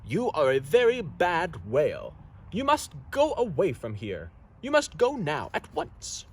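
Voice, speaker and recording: A man's voice: on the high side, huge pitch swings, measured pace, slightly rough, voice pushed up, exaggerated voice. A man voicing a firm, easily angered storybook leader with an emphatic, commanding manner. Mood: angry.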